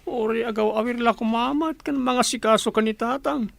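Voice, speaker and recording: A woman's voice: on the high side, moderate pace, gravelly, no echo. A woman playing a burdened, earnest younger sibling in a radio drama, speaking plaintively. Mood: troubled.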